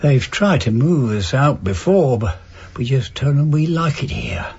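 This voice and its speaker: Raspy, gentle old husband. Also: male voice, medium pitch, animated, a little slow, dull audio. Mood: hesitant, confiding. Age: older. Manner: gentle, hesitant. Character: elderly working-class husband, gentle, hesitant, loyal. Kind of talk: naturalistic radio drama acting.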